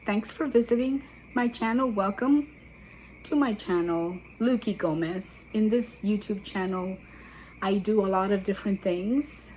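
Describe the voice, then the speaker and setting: Slow-talking woman in her seventies. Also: mid-range voice, slight background noise, rough recording. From Guatemala. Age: 70s. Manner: chatty, digressive, warm asides to viewers. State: sweaty after a walk. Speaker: YouTube channel host. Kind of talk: casual personal storytelling to camera.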